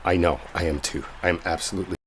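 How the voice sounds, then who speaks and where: A man's voice: low-pitched, steady tone, racing, slight background noise, natural voice. A man playing an exasperated, sarcastic, stubborn guy in a dramatic roleplay audio, talking impatiently with rain behind him. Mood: wry, hurried. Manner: impatient, sardonic, conversational, with mild swearing. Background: rain storm ambience.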